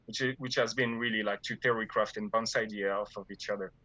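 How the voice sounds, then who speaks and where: A man's voice: fairly low pitch, fairly even intonation, a little fast, sharp tone, highs cut off. A man from France with a French accent, talking about his gaming guild in a casual interview, hesitant with many fillers and restarts. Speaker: guild leader.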